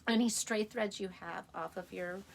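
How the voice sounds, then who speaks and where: A woman teaching a live sewing tutorial in a chatty, hands-on way, her voice slightly raspy and tired as she works. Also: mid-range voice, moderate pace, slight background noise. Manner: chatty, talks while working, restarts often. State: tired, a little hoarse. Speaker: sewing instructor.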